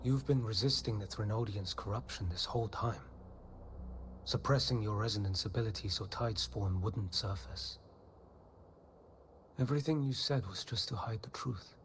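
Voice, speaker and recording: A man playing a grave, steadfast warrior in a video game, speaking solemnly and in measured phrases. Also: on the low side, a bit bright, a little hiss or hum. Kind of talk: video game story dialogue.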